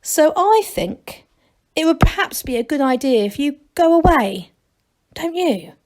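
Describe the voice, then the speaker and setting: A woman's voice: high-pitched, big pitch swings, unhurried, a little mellow, pitched above the performer's own voice. Woman as a polite, firm gnome leader. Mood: calmly firm.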